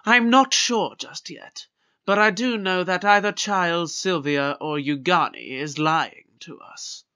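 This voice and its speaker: A man playing a shrewd, measured investigator in an audio drama, speaking formally and deliberately. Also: high voice, sharp tone. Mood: thoughtful. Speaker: investigator questioning suspects. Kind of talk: fan audio drama acting.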